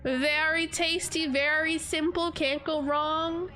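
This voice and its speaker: A woman's voice: high voice, fairly even intonation, takes it slowly, pushed and bright. A young woman streamer chatting casually with her viewers, stuffy and congested from allergies, giggly and rambling with lots of "like"s. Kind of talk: casual livestream chat reading comments.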